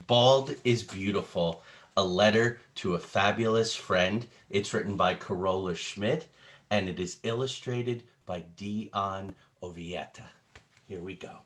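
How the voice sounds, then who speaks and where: A man's voice: fairly low pitch, measured pace, fairly bright tone. A man who works as an actor and children's storyteller, speaking with a theatrical manner. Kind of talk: casual explaining and dramatic read-aloud.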